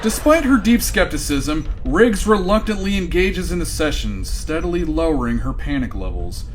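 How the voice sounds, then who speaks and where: An actor playing a wry, theatrical narrator of a scripted sci-fi comedy radio drama. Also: male voice, fairly high pitch, sing-song, moderate pace, slight background noise. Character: wry, theatrical male storyteller of space misadventures.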